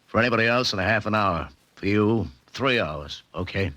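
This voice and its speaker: A man's voice: on the low side, expressive, brisk, pushed and bright, no echo. An actor playing a cocky, breezy police lab man in a 1940s radio drama, wisecracking in short clipped phrases. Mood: teasing.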